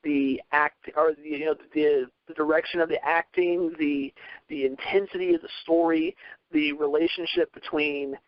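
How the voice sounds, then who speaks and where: Higher-voiced man, rambling earnestly, muffled audio. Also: fairly even intonation, moderate pace, no room sound. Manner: rambling, thinks out loud, earnest. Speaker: podcast panelist. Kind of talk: casual discussion. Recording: dull, radio-like lo-fi audio.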